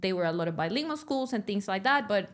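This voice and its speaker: A Spanish-speaking woman from Mexico with a Mexican accent, hosting a podcast interview in English in a lively, casual way, laughing often and restarting sentences. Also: mid-range voice, quick pace. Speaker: podcast host.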